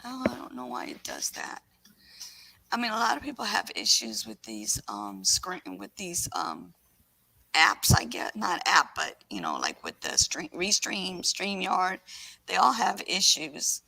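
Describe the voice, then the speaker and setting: A woman hosting a casual livestream in a raspy voice, sick with a scratchy throat and talking in a rambling, halting way. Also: on the low side, wildly swooping pitch, sharp tone, dry recording. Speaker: livestream host.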